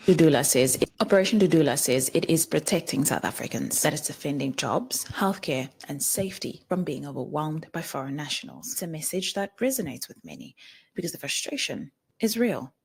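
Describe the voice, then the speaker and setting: A woman narrates a scripted explainer video in a measured way, raising questions for the viewer. Her voice sits very low and has a husky edge. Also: very animated, darkish tone. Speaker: video presenter.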